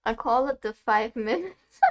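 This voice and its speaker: A woman's voice: a little high, expressive, unhurried. A young woman from Germany, chatting casually and laughing often while streaming a game.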